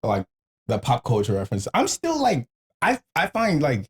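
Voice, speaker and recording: A man's voice: medium pitch, wildly swooping pitch, normal speed. A man in a casual podcast chat, blunt and slangy, swearing freely and acting out the conversations he quotes. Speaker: podcast host.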